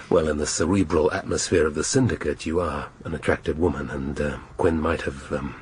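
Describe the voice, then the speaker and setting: A deep-voiced middle-aged detective in a radio play. He is melancholy and awkwardly polite, halting as he feels his way along, with slight noise on the recording. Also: steady tone. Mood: awkward, hesitant. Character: bumbling, melancholy middle-aged male police chief inspector. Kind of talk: radio drama acting.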